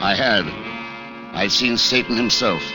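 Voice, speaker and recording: A man's voice: fairly low pitch, measured pace, natural voice. An actor playing a hunted man who narrates his spy adventure in a tense, dramatic old-time radio style. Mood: tense revelation. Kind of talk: 1940s radio acting, dramatic first-person narration. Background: music.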